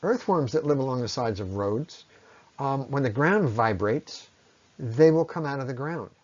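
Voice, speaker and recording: Man giving a measured lecture, muffled sound. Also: mid-range voice, animated. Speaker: presenter on ecology. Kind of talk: explanatory lecture on environmental impact. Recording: dull, lo-fi voice recording.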